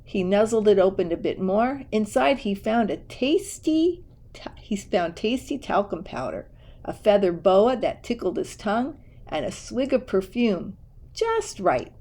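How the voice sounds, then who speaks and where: A woman storyteller reads a children's picture book aloud at a slow, unhurried pace. Her pitch sits in the middle and her delivery is expressive and deliberate.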